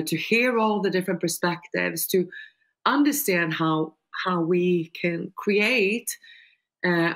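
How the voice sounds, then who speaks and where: An older woman speaking on a video panel in a measured, halting, explanatory way. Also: lowish voice. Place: small furnished room indoors. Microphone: video call.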